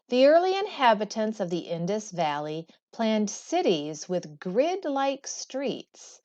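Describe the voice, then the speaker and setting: A woman teacher reading a textbook aloud in a deliberate, expressive way, with brief explanatory asides. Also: mid-range voice, dull audio.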